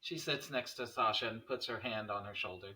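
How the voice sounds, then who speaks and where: Bright, raspy male screenplay narrator. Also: on the low side, brisk, low-quality recording. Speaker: narrator reading stage directions. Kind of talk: scripted narration of a screenplay table read.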